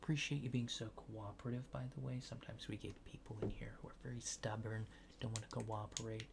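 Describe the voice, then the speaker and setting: A man doing a soft-spoken, often whispered ASMR roleplay as a casual, polite alien technician, rambling hesitantly in a low-key, reassuring way. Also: little pitch movement, fast, creaky, heavy background noise. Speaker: ASMR creator.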